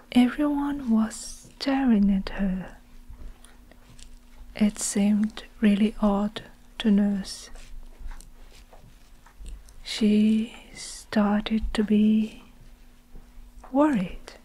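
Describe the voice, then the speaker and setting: A young woman narrating a story in a hushed ASMR whisper, deliberately and with long pauses. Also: on the high side, husky, rough recording. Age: young adult.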